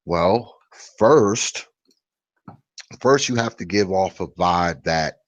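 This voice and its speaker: A man's voice: low-pitched, animated, takes it slowly, no room sound, highs cut off. A man speaking as an interview guest, blunt and opinionated, filling his speech with "you know". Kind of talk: casual video interview chat.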